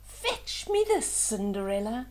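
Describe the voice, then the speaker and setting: Lady doing a nasty bossy stepsister for a kids story, shrill and high, ordering people about. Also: big pitch swings, slow, darkish tone. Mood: bossy, demanding. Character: mean, bossy ugly young stepsisters giving orders. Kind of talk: children's storybook read-aloud.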